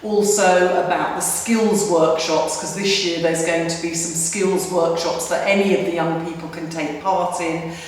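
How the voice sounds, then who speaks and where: A woman, a festival director, calmly explains a planning meeting to the camera. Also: fairly low pitch, measured pace, a little hiss or hum. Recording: echoey hall, distant mic.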